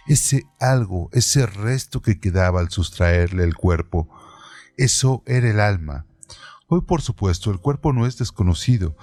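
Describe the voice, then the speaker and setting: A man giving a measured, deliberate scripted reading of a literary text as narrator of a radio-theatre piece. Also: sits low, dark tone.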